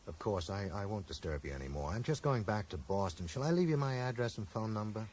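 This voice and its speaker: A man's voice: fairly low pitch, animated, quick pace, darkish tone, natural voice. An actor playing an earnest, polite and persistent man who questions people tactfully in a radio drama. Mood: apologetic. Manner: tactful but probing. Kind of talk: 1980s radio drama acting. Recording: noisy 1980s radio broadcast recording.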